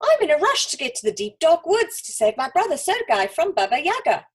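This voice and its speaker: A woman's voice: very high voice, big pitch swings, a little fast, pitched above the performer's own voice. A woman voicing a brave, determined young girl in a hurry, exclaiming in a children's story. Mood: hurried, determined.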